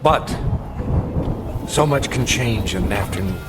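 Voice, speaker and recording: Haunted older gentleman, animated delivery. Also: lowish voice. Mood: reflective, intense. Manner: formal, precise, deliberate. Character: haunted older gentleman recounting his youth, grave, insistent. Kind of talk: dramatic radio storytelling. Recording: music under the voice. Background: dramatic music.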